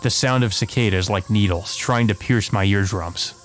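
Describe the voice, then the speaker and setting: A man's voice: on the low side, moderate pace. A man hosting a let's play, reading visual novel narration aloud and adding casual asides, with game music behind him.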